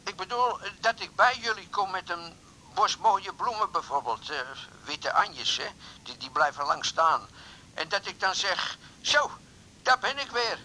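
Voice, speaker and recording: An actor in a radio drama playing an impulsive, sheepish middle-aged suitor who talks haltingly and pleadingly. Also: male voice, high voice, lively intonation, pushed and bright, a little hiss or hum, full character voice, poor audio. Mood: coaxing, eager. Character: impulsive, sheepish older suitor calling on the phone. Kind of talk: 1980s Dutch radio drama acting.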